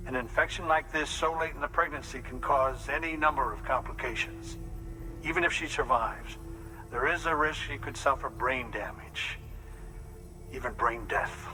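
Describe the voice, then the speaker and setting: A man playing a calm, precise doctor. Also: mid-range voice, lightly acted, poor audio. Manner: measured, explanatory, slightly fussy. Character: calm clinical male doctor, precise, reassuring. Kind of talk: video game cutscene acting. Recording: score music in the background.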